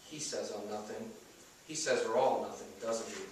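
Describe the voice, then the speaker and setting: A man's voice: lowish voice, fairly even intonation, moderate pace, far from the mic, natural voice. A man voicing a despairing, fretful teenage girl who doubts herself, in a story read aloud. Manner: fretful, defeated. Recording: echoey room from afar, faint noise.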